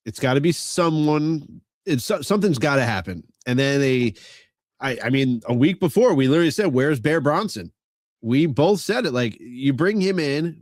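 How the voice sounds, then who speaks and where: A man co-hosting a podcast, nasal and stuffy from a cold. His pitch sits mid-range and he speaks with emphatic enthusiasm, recorded dry and close. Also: no echo. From Long Island, New York. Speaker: podcast co-host. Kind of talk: casual wrestling podcast chat.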